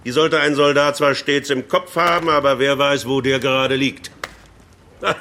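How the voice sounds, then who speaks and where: Ein Schauspieler als forscher, sardonischer SS-Offizier und Arzt, der im Hörspiel trocken spottend Rekruten herumkommandiert. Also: male voice, medium pitch, fairly even intonation, normal speed, background noise, natural voice. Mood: sardonic, brisk. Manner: commanding, drily mocking. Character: brisk, sardonic SS officer doctor addressing recruits. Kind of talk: German radio drama acting.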